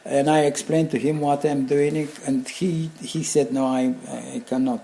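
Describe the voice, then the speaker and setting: An older man with a Bulgarian accent speaks in a mellow, relaxed voice that sits a little high. He tells anecdotes casually, stopping and restarting, wandering off and picking up again with animation. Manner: halting, rambling, animated anecdotes with chuckles. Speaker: interview guest, craftsman and designer. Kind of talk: casual conversational storytelling.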